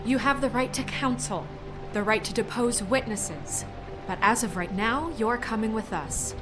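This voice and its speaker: A woman's voice: fairly high pitch, measured pace, music in the background, close to an everyday voice. A woman voicing a stern, principled leader in a video game, firm and authoritative. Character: stern, principled woman newly in charge of an office.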